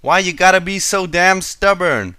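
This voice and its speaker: A man's voice: high voice, moderate pace, pushed and bright, clean tone, no echo, studio quality, voice pushed up. A man voicing a hot-tempered young female farm pony with a brusque, folksy in a storybook reading. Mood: angry, snapping.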